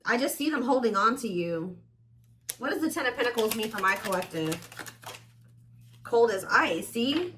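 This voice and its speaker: Female tarot host, higher-pitched, conversational and assured, speaking straight to viewers over faint background noise. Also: lively intonation, normal speed, long pauses, a bit bright. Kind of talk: casual intuitive tarot card reading, speaking to viewers.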